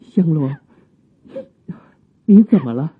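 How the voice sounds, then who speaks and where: Husky, courteous young gentleman. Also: a little high, animated, slow pace, exaggerated voice. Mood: tender, emotional. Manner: polite, humble, emotive archaic phrasing. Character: courteous young musician, tender returning fiancé. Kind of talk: theatrical Chinese radio drama acting. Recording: muffled, narrow phone-like sound.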